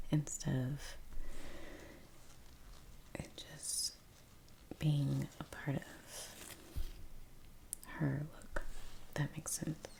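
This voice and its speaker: Soft whispery asmr lady, creaky n low, musing along with faint noise behind her. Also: low-quality recording. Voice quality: breathy whisper. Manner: unhurried, trailing off, murmured musing. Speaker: artist, ASMR video creator. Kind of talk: soft-spoken ASMR painting chat. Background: soft brush and painting sounds.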